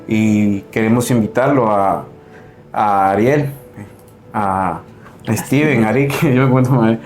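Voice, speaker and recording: A man's voice: fairly low pitch, slow, a little mellow. A man hosting a religious video program, introducing a guest in an earnest, preacher-like way. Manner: earnest, preacher-like with frequent "¿verdad?". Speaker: host.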